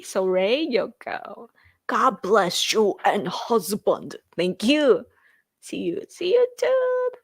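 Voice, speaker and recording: Japanese woman in her thirties hosting a livestream, unhurried delivery, dry close sound, giggly and chatty. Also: mid-range voice, big pitch swings, creaky, slightly rough, dry recording. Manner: rambling, laughs often, thanks viewers repeatedly. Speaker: livestream host. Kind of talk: casual livestream chat reading viewer comments.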